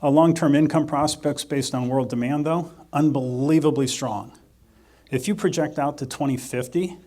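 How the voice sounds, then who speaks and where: A man at a mid-range pitch gives an economics presentation in a relaxed, conversational manner. He works through ideas out loud and drops dry, self-deprecating jokes along the way. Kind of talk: conference presentation.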